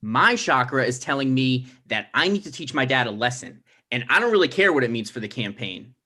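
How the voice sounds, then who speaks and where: Male actor as self-righteous mayoral candidate son; lively swinging intonation, mid pitch, comedy table read. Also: fairly quick. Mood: defiant.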